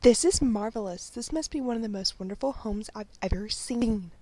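A woman's voice: a little high, normal speed, heavy background noise, close to an everyday voice. A woman playing an earnest young companion. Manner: earnest, questioning. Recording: loud music bed under voice.

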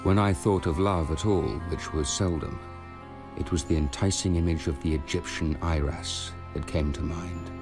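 An actor playing a determined, vengeful young nobleman in a radio drama, brooding and deliberate in manner. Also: low voice, fairly even intonation, over music. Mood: reflective. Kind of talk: radio drama acting with first-person narration.

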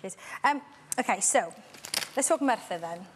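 A Welsh woman in her thirties presenting a studio interview, teasing and bright, on a lapel mic. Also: mid-range voice, expressive, moderate pace, a little hiss or hum. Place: TV studio with audience.

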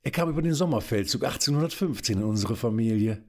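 An actor playing an effeminate, war-obsessed Prussian count with an affected, aristocratic manner in an audio drama. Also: male voice, mid-range voice, lively intonation, fast talker, close to an everyday voice. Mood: self-satisfied. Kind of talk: German audio drama acting.

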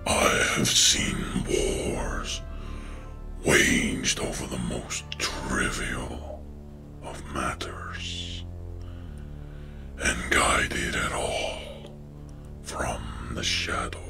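An ancient villain lord speaks in a gravelly whisper, drawing out each portentous phrase very slowly. He is grandiose and menacing, a cold commander from a horror short, with music behind him. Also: extremely low pitch, level tone, exaggerated voice. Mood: brooding, ominous. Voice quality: raspy, croaking whisper. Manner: slow, portentous, drawn-out phrases.

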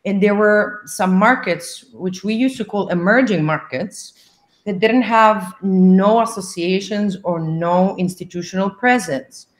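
A middle-aged woman, an English speaker, explaining things in a measured way as a guest on a video call. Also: mid-range voice. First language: not English. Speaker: association president, interview guest. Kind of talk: interview talk on a video call. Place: indoor room. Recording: echoey room over video call.

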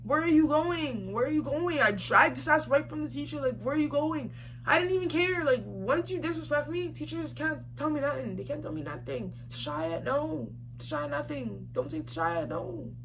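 A woman's voice: high-pitched, animated, moderate pace, fairly bright tone. A young woman telling a story casually to camera, emphatic and slangy, repeating phrases for effect. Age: young adult. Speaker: vlogger. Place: small room indoors, seated facing camera. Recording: clearly noisy home recording.

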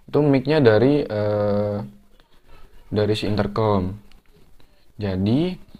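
Young man, lowish voice, slangy and chatty, home tutorial, camera mic. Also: highs cut off. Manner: slangy, chatty, full of fillers. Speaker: motovlogger. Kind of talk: casual hands-on tutorial. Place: living room at home, indoors.

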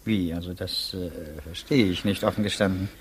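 An actor in a 1950s German radio drama playing a courteous, puzzled public prosecutor who probes politely and formally. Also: male voice, low voice, steady tone, moderate pace, a little hiss or hum, natural voice. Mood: puzzled, probing. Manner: formal, polite, hesitant probing.

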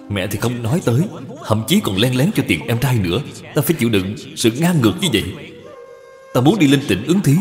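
A resentful young husband in a cartoon folk tale, played by a male narrator, complaining with lively, raised intonation. The voice carries long reverb over music. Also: medium pitch, close to an everyday voice. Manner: indignant complaining. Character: resentful, hard-working young husband, bitter stepson.

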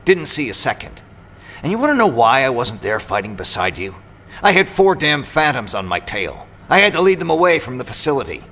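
Gravelly, suspicious mercenary pilot. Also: male voice, mid-range voice, very animated, fairly quick, bright, forceful tone, full character voice. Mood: irritated, confrontational. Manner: sardonic, confrontational. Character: gruff, suspicious, irritable male mercenary robot pilot. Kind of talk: audio drama acting.

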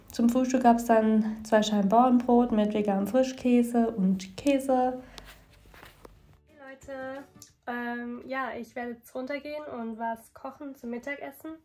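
A young woman in her twenties, a food vlogger chatting casually and relaxed at home. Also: fairly high pitch. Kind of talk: casual vlog chat. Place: home rooms and kitchen, indoors. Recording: echoey room with background noise.

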